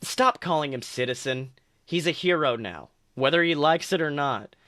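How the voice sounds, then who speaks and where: A man's voice: a little high, expressive, normal speed, fairly bright tone, no room sound. A man voicing a wry female quokka superhero in a fan fiction reading. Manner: smirking, dry.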